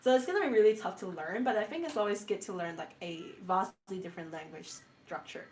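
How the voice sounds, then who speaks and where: A woman's voice: medium pitch, lively intonation, quick pace, darkish tone, poor audio. A young woman, a native Cantonese speaker with a Cantonese-influenced English accent, chatting casually on a stream with frequent fillers and laughs. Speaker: VTuber guest.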